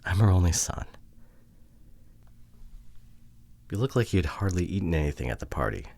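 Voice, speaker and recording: A man playing a hurt, introverted, awkwardly apologetic boyfriend in an ASMR roleplay audio drama, speaking haltingly and close to the microphone. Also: deep voice, big pitch swings, gravelly, no echo, exaggerated voice. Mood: gently hospitable. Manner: hesitant, halting, sighing delivery with soft drops. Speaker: voice actor. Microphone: close microphone, dry.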